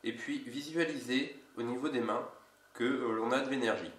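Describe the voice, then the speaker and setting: A man with a cold, an energy healer giving a casual instructional video in a slow, halting way. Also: medium pitch, a bit bright, distant mic. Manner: slow, halting, with many pauses. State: has a cold. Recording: roomy echo, away from mic.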